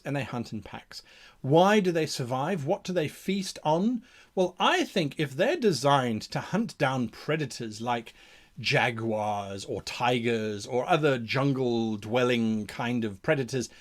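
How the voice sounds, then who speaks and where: A man hosting an instructional talk on game mastering, speaking off the cuff in a theatrical, emphatic way and repeating words for effect. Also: medium pitch. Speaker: host of a game master tips channel. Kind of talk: instructional talk, improvised explanation.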